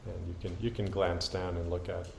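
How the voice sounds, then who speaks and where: A man giving an informal dharma talk as a Zen teacher, halting and reflective, with frequent pauses. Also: deep voice, little pitch movement, gravelly. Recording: roomy echo with faint background noise.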